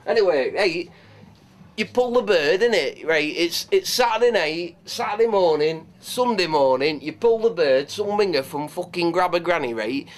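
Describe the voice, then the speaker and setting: An actor playing a crude, swaggering cook from Yorkshire with a Yorkshire accent, rambling and swearing. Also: male voice, sits high, expressive, moderate pace. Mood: brash rambling. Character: crude boozy Yorkshire lad cook, brash and lewd. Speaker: comic cook character. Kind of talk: improvised comedy sketch.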